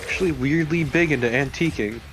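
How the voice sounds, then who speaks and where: A man's voice: fairly high pitch, brisk. A man making playful, joking small talk as co-host of a casual video game commentary. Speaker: let's play co-host. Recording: faint background noise.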